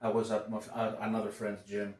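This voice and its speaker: A man with a Greek accent chats as a podcast host, stumbling and restarting his sentences. His voice sits fairly low. He sounds set back from the mic in a roomy, echoing space. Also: monotone, darkish tone, recorded from a distance. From Greece. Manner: halting, many restarts, curses freely. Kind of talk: casual interview chat.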